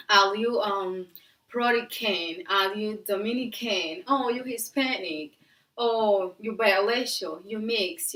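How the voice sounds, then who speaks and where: Bright-voiced young woman vlogger, echoey room. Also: mid-range voice, far from the mic. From Tanzania. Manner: sassy, chatty, frequent fillers and laughs. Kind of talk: casual talk to camera. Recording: echoey room, mic set back.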